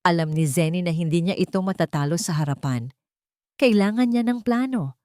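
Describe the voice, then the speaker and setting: A woman narrates a dramatic audio story in a low-pitched voice. Her phrasing is deliberate and suspenseful, and the recording is close and dry. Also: a little mellow, no room sound.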